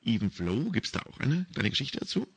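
A man's voice: medium pitch, big pitch swings, a little fast, gravelly, husky, no room sound. A man hosting a radio interview, asking questions in a friendly, conversational way. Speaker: radio host.

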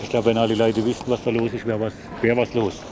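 Flat-toned man amid loud street noise. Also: fairly low pitch, fast. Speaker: passer-by interviewed. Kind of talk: street interview answers. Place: outdoors. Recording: very loud outdoor traffic noise.

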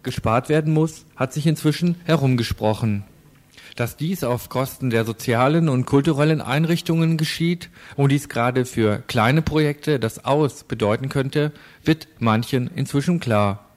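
A man's voice: medium pitch, moderate pace, darkish tone. A man working as a radio reporter, reading scripted news narration and putting interview questions.

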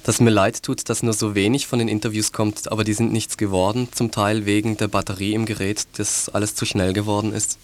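A man with a fairly low voice works as a radio reporter. He delivers wry commentary in a dry, ironic manner. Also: level tone, a little fast, hardly any pauses.

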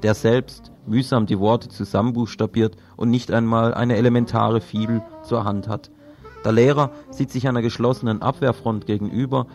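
A man's voice: on the low side, a little mellow. A man presenting cultural listings on community radio, partly talking freely and partly reading from a programme. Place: radio studio. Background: plucked guitar music under the voice.

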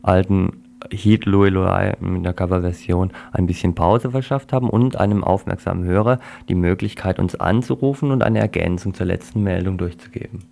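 A man hosting live radio in a very deep, gravelly voice. His tone is dark and mellow, and he links the music to the next item smoothly. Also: fairly even intonation, normal speed, few pauses. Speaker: radio host.

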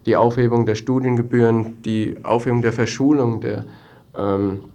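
A young male student activist answers interview questions in a medium-pitched voice with very little melody. He speaks spontaneously, often stalling mid-phrase before carrying on. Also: fairly even intonation, normal speed, dark tone. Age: young adult.

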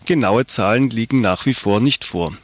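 A man's voice: on the low side, moderate pace, dry recording. A man presenting a radio news magazine, reading scripted reports and introductions.

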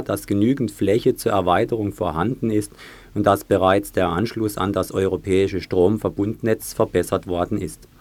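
Low-voiced male radio news presenter. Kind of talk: read news report.

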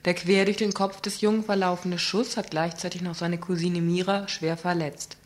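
A woman's voice: fairly low pitch, level tone, moderate pace. A woman reading a scripted report on a community radio news program, earnest and questioning, with small slips.

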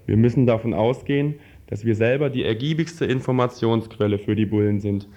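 A man's voice: lowish voice, fairly quick, dark tone. A man reading prepared political texts aloud in a scripted radio style.

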